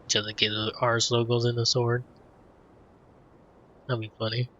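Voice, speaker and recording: A man's voice: mid-range voice, monotone, a little slow, sharp tone, slight background noise. A man doing laid-back, conversational let's play commentary as he games. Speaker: gamer, let's player. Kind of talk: casual gameplay commentary, reading dialogue choices. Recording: dull lo-fi mic, faint game music.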